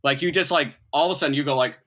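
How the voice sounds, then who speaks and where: A man's voice: fairly high pitch, very fast, a bit bright. A man improvising narration as a tabletop game master, explaining things in a conversational way.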